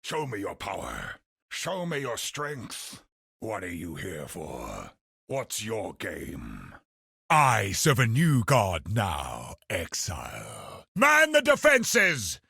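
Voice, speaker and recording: Cruel crusader warlord, video game villain, haughty, menacing, gravelly, slow, wildly dynamic intonation, theatrical. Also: male voice, fairly low pitch, wildly swooping pitch, bright, forceful tone, no echo, clean studio sound, heavily acted. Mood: cold menace, then fierce, commanding. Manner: haughty, imperious, menacing, declamatory. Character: zealous, cruel, commanding male military crusader villain.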